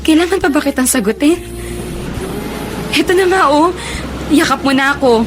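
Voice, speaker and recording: A woman's voice: high-pitched, lively intonation, moderate pace, music in the background. An actress playing a coy, flustered young college woman in love in a Filipino radio drama. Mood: coy, giving in.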